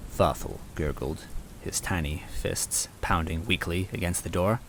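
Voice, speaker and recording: A man reading a scripted comic story as narrator, with wry, deadpan asides. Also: sits low, a little slow. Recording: rain noise and game music behind.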